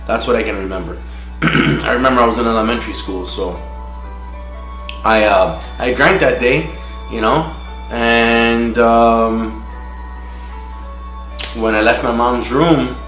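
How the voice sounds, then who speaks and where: A man with a fairly low voice tells his own recovery story in an unpolished, conversational way, pausing often and filling gaps with "you know." The sound is roomy and echoey, with soft music playing faintly underneath. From Miami, United States. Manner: halting and frank, full of "you know" fillers. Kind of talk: casual personal testimony.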